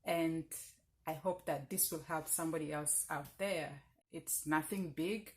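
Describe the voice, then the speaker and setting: Low-voiced woman with a Ugandan Lugbara accent. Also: fairly even intonation, measured pace. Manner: reflective, earnest, with many fillers and restarts. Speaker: vlogger. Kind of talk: casual personal talk to camera.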